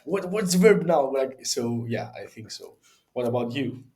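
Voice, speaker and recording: Male co-host, halting and word-searching, mid-range voice. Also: mid-range voice, expressive, a crowd in the background. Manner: hesitant, searching for words. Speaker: podcast co-host. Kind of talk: casual chat.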